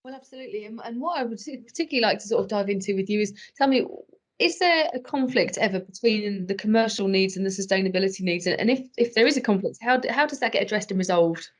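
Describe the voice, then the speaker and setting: A woman interviewer poses probing questions at a brisk clip, her voice of middling pitch. She keeps her questions measured and to the point. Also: expressive.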